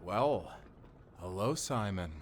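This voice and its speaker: A man playing a kindly old seafaring grandfather in an audio drama, speaking calmly and reassuringly. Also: lowish voice, takes it slowly, slight background noise. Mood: warm. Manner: calm, reassuring, folksy. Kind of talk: cinematic audio roleplay acting.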